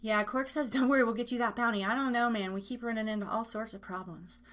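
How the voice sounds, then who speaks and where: A woman's voice: on the high side, fairly even intonation, fast, fairly bright tone. A woman hosting a live online radio show in casual chat, playful and rambling, often interrupting herself as she talks straight to listeners. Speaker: live radio and podcast host.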